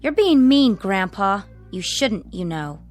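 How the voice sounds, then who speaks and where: An actress playing a vain, petulant young granddaughter in a radio drama, with a whiny, pouty way of talking. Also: female voice, mid-range voice, very animated, slow pace, darkish tone, faint music behind.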